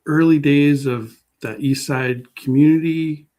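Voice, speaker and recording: A man speaking as a guest historian in a casual interview, halting and modest as he thinks aloud. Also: fairly high pitch, fairly even intonation, warm tone.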